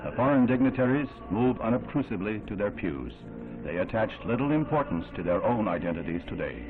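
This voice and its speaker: Male American newsreel narrator, 1960s: low-voiced, solemn and oratorical, on a thin band-limited old soundtrack. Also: sing-song, a little fast, narrow, tinny audio. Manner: solemn, formal, dramatic oratorical delivery. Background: loud music.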